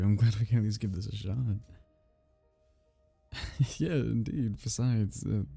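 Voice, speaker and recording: A man acting a geeky, awkward male kitsune on a blind date in an ASMR roleplay, halting, stammering and apologetic. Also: fairly low pitch, vocal fry, warm tone, slightly rough, no room sound, full character voice. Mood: nervous, polite.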